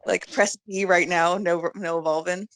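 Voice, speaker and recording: A woman's voice: fairly low pitch, fairly even intonation, moderate pace, fairly bright tone, dry recording. A woman chatting casually as a podcast guest, in a playful and giggly manner. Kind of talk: casual chat about games and films.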